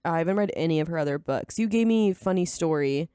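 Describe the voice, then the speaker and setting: A woman's voice: deep voice, lively intonation, fast talker, mellow, smooth, no echo, clean studio sound. A woman chatting casually as co-host of a book podcast. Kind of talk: casual chat.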